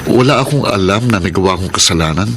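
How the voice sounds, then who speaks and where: A man's voice: low voice, expressive, fast, fairly bright tone, a little hiss or hum. A man acting in a Filipino radio drama as a humble, earnest young security guard, polite and plaintive. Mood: pleading, puzzled.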